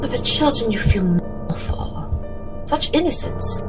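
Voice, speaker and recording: A woman playing a genteel, anxious, soft-hearted lady in a tabletop horror game, with a polite, hesitant, fretful way of talking. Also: on the high side, lively intonation, takes it slowly, loud music behind the voice. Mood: anxious, tender.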